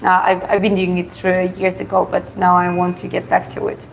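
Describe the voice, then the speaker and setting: A woman's voice: fairly low pitch, monotone, normal speed, gravelly, a little hiss or hum, low-quality recording. Woman chatting casually. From Georgia. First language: Russian. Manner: hesitant phrasing, reads out viewer questions then answers frankly. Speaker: live stream host. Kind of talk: casual live Q&A chat.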